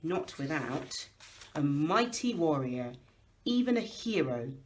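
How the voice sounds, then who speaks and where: A woman's voice: deep voice, big pitch swings, takes it slowly, a little mellow, slight background noise, heavily acted, low-quality recording. A woman voicing a commanding old male wizard, wry and knowing, with emphatic, declamatory delivery in a storybook reading.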